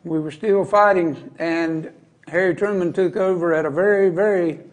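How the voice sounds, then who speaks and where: A high-voiced man with a Southern American accent hosts a live shopping show. He chats in a folksy, unhurried sales style, wandering a little as he goes. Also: steady tone. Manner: folksy, casual, rambling. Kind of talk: chatty live sales pitch.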